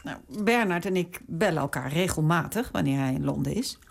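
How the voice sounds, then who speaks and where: An actress playing a dutiful princess daughter in a radio drama, speaking gently and deferentially. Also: female voice, low-pitched, animated, brisk, vocal fry, breathy edge, full character voice. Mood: earnest.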